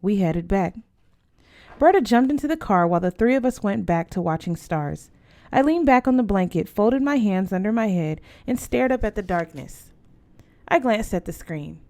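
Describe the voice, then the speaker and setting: A woman's voice: mid-range voice, lively intonation, normal speed, mellow. A woman narrating a first-person story as an audiobook reader, in a scripted storytelling style.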